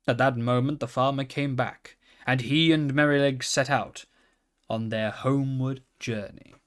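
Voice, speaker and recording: A man reading a children's storybook aloud in a calm, steady manner. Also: mid-range voice, a little slow. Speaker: reader.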